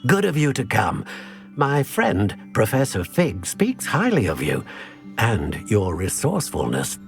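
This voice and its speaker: A courteous gentleman in a wizarding game. His voice is on the low side and expressively inflected, with faint music underneath. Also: huge pitch swings, unhurried, heavily acted. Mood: cordial. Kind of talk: video game voice acting.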